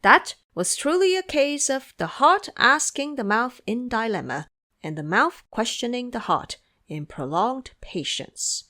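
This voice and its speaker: 억양이 생동감 넘치는 여성 해설자. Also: female voice, on the high side, big pitch swings, a little slow, pushed and bright. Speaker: narrator. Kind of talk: scripted storytelling narration.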